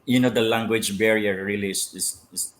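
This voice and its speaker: A middle-aged man with a Filipino accent, a pastor answering interview questions in halting speech with many fillers and restarts. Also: on the low side, steady tone. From Philippines. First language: Filipino language. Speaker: pastor, guest.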